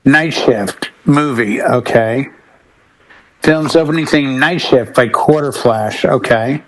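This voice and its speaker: Mid-pitched man chatting. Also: slow pace, no echo, poor audio. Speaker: panelist on a music talk show. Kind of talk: casual chat.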